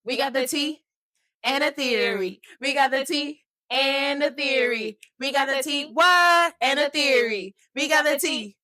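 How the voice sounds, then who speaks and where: A woman's voice: high voice, unhurried, pushed and bright, dry recording, pitched above the performer's own voice. A woman chanting the show's intro jingle in a sing-song way. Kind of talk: sung show jingle.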